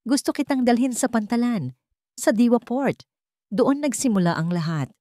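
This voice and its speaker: A woman's voice: a little high, lively intonation, normal speed, warm tone, dry recording, studio quality, pitched above the performer's own voice, lightly acted. A woman voicing a proud, remorseful old tycoon father in a story narration, hopeful and determined. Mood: eager.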